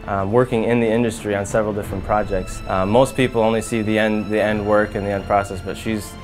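A young man with a Midwestern American accent chats in a relaxed way, full of fillers, in a fairly low voice. He was recorded in a studio room. Music plays clearly underneath. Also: quick pace, low-quality recording. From Michigan, USA. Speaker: music student. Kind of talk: casual interview.

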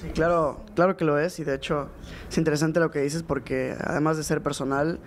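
A man's voice: fairly high pitch, fairly even intonation, brisk. A young man with a Mexican accent giving casual, somewhat hesitant interview answers into a handheld microphone. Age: young adult. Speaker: actor being interviewed. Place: indoor festival interview booth. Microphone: handheld microphone held close. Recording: light ambient noise behind the voice.

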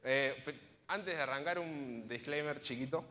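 A man's voice: medium pitch, unhurried, pushed and bright. Young Argentine man in a reverberant hall. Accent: Argentine Spanish. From Córdoba province, Argentina. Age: 20s. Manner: casual, colloquial storytelling. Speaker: conference speaker, software engineer. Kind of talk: informal conference presentation. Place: large auditorium stage, indoors. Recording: reverberant hall sound from a stage.

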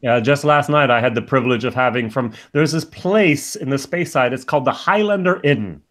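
A man's voice: medium pitch, a little fast, fairly bright tone. A man in his forties, born in the United States, hosting a casual video-call chat from home. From born in the United States, living in Germany. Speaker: host of a whisky review channel. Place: small room at home lined with bottle shelves.

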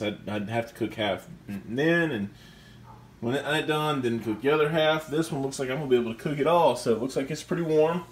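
A man casually reviewing a skillet while he cooks in his home kitchen, talking in a chatty, enthusiastic way with restarts and fillers. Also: mid-range voice. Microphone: camera mic, speaker off screen. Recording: echoey kitchen with faint background noise.

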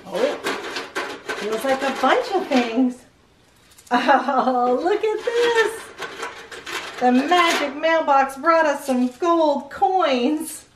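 Children's show host: middle-aged woman, very expressive, unhurried, playful, slightly roomy indoor sound. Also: sits high, distant mic, noisy background. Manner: exclamatory, playful, addresses the viewer with questions. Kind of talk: presenting to young children.

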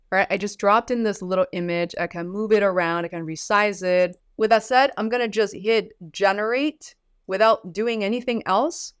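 A woman in her thirties, a native Mandarin Chinese speaker, chatting upbeat through a software tutorial in her home studio. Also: mid-range voice, clean tone, no room sound. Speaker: host.

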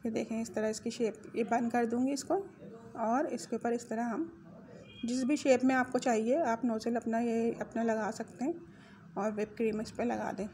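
A woman with a fairly high voice talks through a recipe in her home kitchen, chatty and informal, often stopping to fix a measurement. A faint hiss sits under her voice. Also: fairly even intonation, normal speed, a little mellow. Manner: chatty, self-correcting on measurements. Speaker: home cooking channel host. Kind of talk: casual recipe walkthrough.

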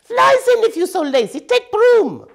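A woman's voice: very high voice, big pitch swings, measured pace, creaky, voice pushed up, exaggerated voice. A woman voicing a small, bossy male hamster familiar in a storybook, scolding in clipped broken English.

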